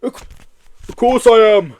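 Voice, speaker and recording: A man's voice: high-pitched, fairly even intonation, a little slow, mellow, no room sound, voice pushed up. A man voicing a huge, timid male yeti who wails and blubbers in panic. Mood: panicky wailing.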